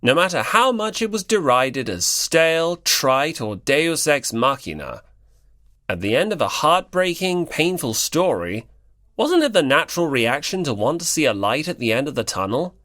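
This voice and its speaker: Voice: mid-range voice, big pitch swings, normal speed, fairly bright tone, dry recording, studio quality. An audiobook narrator reading scripted fiction in the third person.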